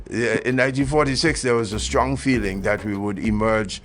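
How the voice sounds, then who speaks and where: Halting man explaining history. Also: lowish voice, a bit bright. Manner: halting, many uhs and restarts. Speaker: government official.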